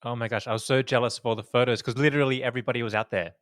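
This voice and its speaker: A man co-hosting a podcast in easy, animated chat, telling stories with lots of "like" and quick asides. His voice sits mid-pitch and sounds a little croaky. The sound is close and dry. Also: no echo. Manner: chatty, animated storytelling. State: slightly croaky from smoke. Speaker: podcast co-host. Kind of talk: casual chat. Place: New York.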